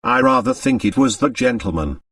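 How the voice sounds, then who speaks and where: Hesitant, deferential English maidservant played by a male reader, audiobook, expressive pitch, dry acoustic. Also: moderate pace, creaky, no echo, far from the mic, heavily acted. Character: cautious, unwilling English lady's maid, woman. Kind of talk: audiobook character dialogue.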